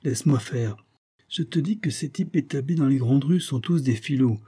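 Man acting a gruff, suspicious tourist husband. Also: medium pitch, normal speed, dark tone, voice pushed up, natural voice. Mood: pleading. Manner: gruff, sardonic, colloquial. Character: grumbling, suspicious, penny-pinching American tourist husband.

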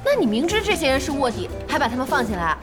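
An eager, high-voiced girlish team boss. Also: fast talker. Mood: curious, chatty. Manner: expressive, girlish, exclaiming. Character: eager, naive young woman boss of a gaming team. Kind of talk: Chinese audio drama acting. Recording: studio drama with music behind.

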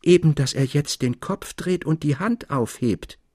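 A man's voice: a little high, animated, measured pace, darkish tone, dry recording, clean studio sound. A man reading the part of an earnest, intense young scholar in a literary story, with solemn, formal phrasing. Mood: formal, persuasive.

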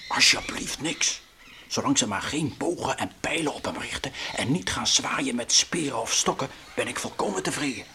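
An actor playing an anxious, wry space traveller who grumbles and jokes colloquially in a Dutch radio play. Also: male voice, medium pitch, expressive, moderate pace, vocal fry, bright, forceful tone, in a whisper. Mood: nervous.

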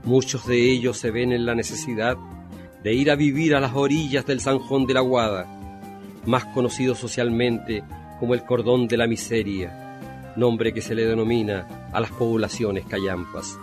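A man giving formal, measured, scripted historical narration for a radio drama. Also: mid-range voice, steady tone. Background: music.

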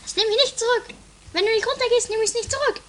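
A woman's voice: very high voice, steady tone, fast, sharp tone. A woman playing a stubborn, easily frightened, bickering sister in an amateur comedy radio play, whiny and theatrical. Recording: very noisy lo-fi 1980s home recording.

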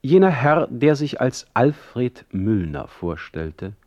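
An actor playing a polite, dryly ironic poet in a radio play, with speech. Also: male voice, mid-range voice, very animated, slow, darkish tone, dry recording, highs cut off. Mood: matter-of-fact. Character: polite, ironic male poet recounting by letter. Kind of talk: German radio play letter narration and dialogue.

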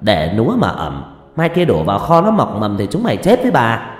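A man voicing a domineering, hot-tempered village mother who scolds, curses and laments in shrill exclamations, in an audio story. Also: wildly swooping pitch, a little fast. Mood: bossy. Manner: scolding, shrill exclamations, coarse rustic cursing. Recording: echoey reverberant voice over music.

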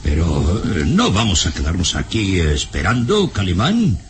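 An actor playing a weary, grumbling elderly professor, fretful and hesitant, in an old radio serial. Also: male voice, deep voice, huge pitch swings, unhurried, exaggerated voice. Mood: fretful, complaining. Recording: slightly noisy old radio serial recording.

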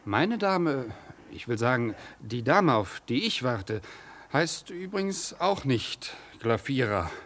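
A man's voice: medium pitch, big pitch swings, takes it slowly, fairly bright tone, heavily acted. Impatient, snappish young man. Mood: exasperated, suspicious. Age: young adult. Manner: curt, snappish, exasperated. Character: impatient, irritable young lover hiding his affair. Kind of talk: 1960s German radio play acting.

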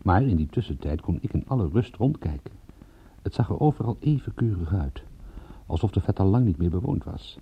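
An actor playing a wry private detective who narrates his own case in a husky, gravelly, sardonic voice for a radio drama. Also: low voice, expressive, a little fast, heavily acted. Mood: wry, confiding. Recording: dull radio drama recording.

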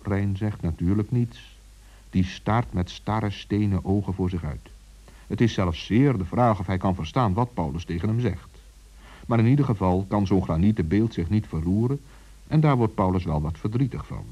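Male children's storyteller: low, dark and husky, intimate, talking straight to the listener. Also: normal speed. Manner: intimate, addresses listeners and characters directly.

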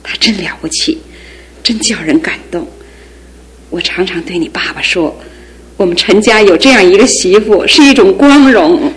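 A woman's voice: mid-range voice, normal speed, close to an everyday voice. An actress playing a caring middle-aged mother who fusses over her grown son in a radio drama. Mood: doting. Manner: doting, fussing, affectionate.

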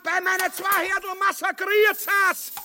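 A man's voice: very high-pitched, little pitch movement, a little slow, pushed and bright, slight background noise, full character voice. An actor playing a cowardly, boastful, foul-mouthed tyrant king in a farcical radio play, with an Austrian accent and a pompous manner. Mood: frantic bellowing. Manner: bombastic, grotesquely pompous, cursing. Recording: reverberant radio play studio hall.

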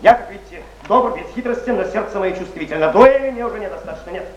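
An impassioned poet defending his honour in a stage drama. His words come fast and high, declaimed with bitter intensity. The old theatre recording is echoey. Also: lively intonation, a little mellow. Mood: bitter fury. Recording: echoey, muffled 1970s theatre recording, faint hiss.

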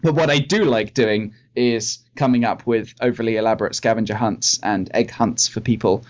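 A man's voice: fairly low pitch, normal speed. Playfully dry man on lo-fi audio. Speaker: podcast co-host. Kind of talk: casual podcast banter.

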